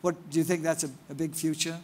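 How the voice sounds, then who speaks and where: A man hosts an onstage interview at a large conference and steers the talk with prompting questions. He laughs easily along with his guest. His voice sits a little higher than most men's and is picked up close by a lapel mic. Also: flat delivery, quick pace.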